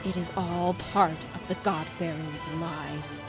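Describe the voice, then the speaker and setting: A woman's voice: lowish voice, steady tone, slow, loud music behind the voice. A woman playing an earnest, defiant heretic warrior in a fantasy audio drama, speaking with solemn emphasis. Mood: grave, insistent.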